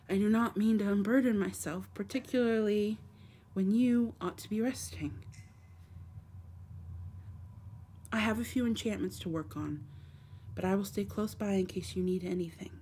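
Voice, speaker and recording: A woman voicing a gentle, lonely dark fae in an ASMR roleplay, speaking tenderly and hesitantly to the listener in formal storybook phrasing. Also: medium pitch, moderate pace, lightly acted. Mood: tender, apologetic. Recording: faint background noise.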